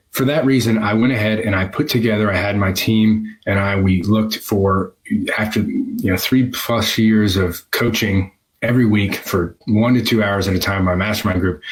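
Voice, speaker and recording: A man with a fairly low voice speaks as a business coach in a conversational instructional video. He leans on his key points, often repeating phrases for emphasis. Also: low-quality recording. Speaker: business coach for physical therapists.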